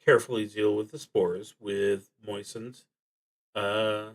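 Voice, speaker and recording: A man streaming a solo tabletop game, thinking aloud in a halting, deliberate way and often trailing off. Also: on the low side, level tone. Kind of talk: thinking aloud while playing a solo card and dice game.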